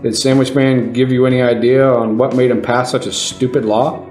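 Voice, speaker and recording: A man's voice: mid-range voice, flat delivery, normal speed, a little mellow, lightly acted. A man playing a cocky, self-important and slightly dim TV news reporter in a satirical audio comedy. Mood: smug, obtuse. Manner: pompous, self-assured. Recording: roomy sound with faint music.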